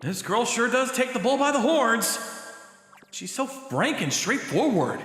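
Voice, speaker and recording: An actor playing a modest, bashful high school boy who narrates and speaks in an earnest manga dub style. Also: big pitch swings, unhurried, a bit bright, breathy edge, faint music behind, heavily acted. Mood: bashful wonder.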